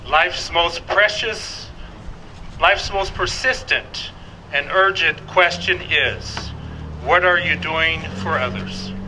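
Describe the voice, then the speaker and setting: Guy reading a quote really slow, bright sharp voice, measured. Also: fairly high pitch, poor audio. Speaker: quote reader at event. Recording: faint outdoor traffic noise.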